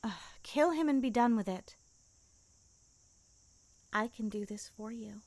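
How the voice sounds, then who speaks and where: An actress playing a ruthless, possessive henchwoman in an audio drama, urging and deliberate. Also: fairly high pitch, a little mellow, no echo. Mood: cold urging. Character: ruthless, possessive female henchwoman, eager to kill.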